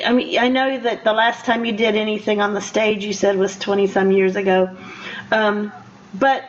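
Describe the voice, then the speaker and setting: An older woman interviewing a guest casually as a radio host, in a halting, rambling, encouraging way. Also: fairly high pitch. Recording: dull lo-fi radio interview audio.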